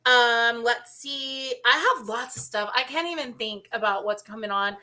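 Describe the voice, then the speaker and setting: A woman's voice: fairly high pitch, normal speed, pushed and bright. A woman co-hosting a casual radio show, talkative and playfully teasing. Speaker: radio co-host and singer.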